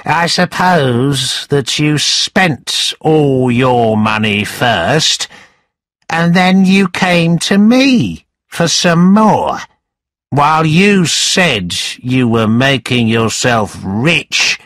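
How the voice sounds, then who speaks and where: Man acting a menacing moneylender, swooping and slow. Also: mid-range voice, big pitch swings, a bit bright, dry recording, voice pushed up, heavily acted. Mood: scornful, angry. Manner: sneering, emphatic, drawn-out delivery. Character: menacing, scornful male moneylender, sly and angry.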